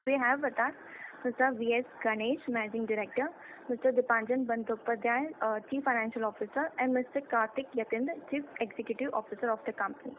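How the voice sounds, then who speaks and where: Casting: Indian female call moderator, higher-pitched voice, formal scripted delivery, narrow telephone sound. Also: fairly even intonation, fast. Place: conference phone call. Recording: tinny phone line with clear noise.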